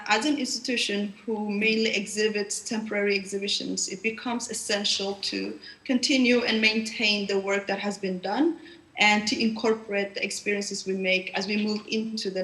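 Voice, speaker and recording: A woman presenting an institution's exhibition program at a panel, often pausing with fillers and restarting words. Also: mid-range voice, sharp tone, roomy sound, poor audio. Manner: hesitant, frequent fillers and restarts.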